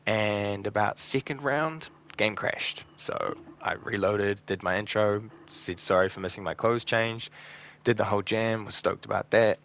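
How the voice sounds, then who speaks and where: A man hosting a gaming video, talking casually over gameplay in a croaky, slightly raspy voice, tired and burnt out, rambling with ums and trailing off. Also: fairly low pitch, unhurried, fairly bright tone, slight background noise. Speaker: gaming channel host.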